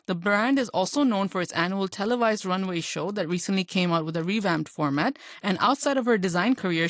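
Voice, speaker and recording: A woman's voice: medium pitch, no room sound, clean studio sound. A woman from Hong Kong hosting a radio talk show, upbeat and scripted at first, then chatting with her guest. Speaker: radio host.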